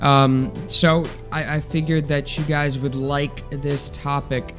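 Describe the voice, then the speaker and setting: A man's voice: fairly high pitch, takes it slowly, soft background music. A young man hosting a solo science podcast, chatting casually with a congested, nasal voice and lots of ums and restarts. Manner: rambling, filler-heavy, relaxed. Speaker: podcast host.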